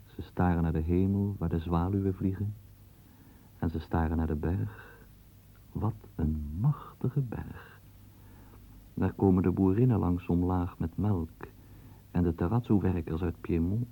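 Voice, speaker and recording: A man's voice: low voice, a little slow, long pauses, dark tone. A man narrating a radio play and guiding the listener through the scene like a storyteller. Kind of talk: scripted radio drama narration. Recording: tinny lo-fi old radio recording.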